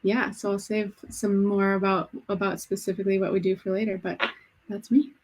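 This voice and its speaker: A woman with an even, flat-pitched voice speaking on a video call. She sums up her career in a brisk, matter-of-fact way. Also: rough recording. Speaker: panelist. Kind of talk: panel introduction.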